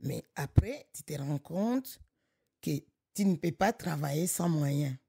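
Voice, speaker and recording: A middle-aged woman from Congo, director of a local association, speaking in an animated, emphatic way with frequent restarts. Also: sits low, slow pace, gravelly, breathy edge, no echo, studio quality. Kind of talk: conversational podcast interview.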